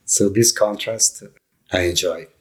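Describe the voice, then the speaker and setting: A middle-aged man from Italy with an Italian accent, speaking English as a second language and answering interview questions in a reflective, halting way. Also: sits low, fairly even intonation, slightly rough. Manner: reflective, halting, with many fillers and restarts. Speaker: guest, leadership coach.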